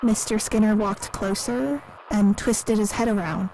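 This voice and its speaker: An actress playing a timid, lonely, naive museum worker in an audio drama, speaking hesitantly and earnestly in a recorded interview. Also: female voice, medium pitch, unhurried, low-quality recording. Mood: timid, confiding. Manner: hesitant, soft-spoken, earnest. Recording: clearly audible background noise.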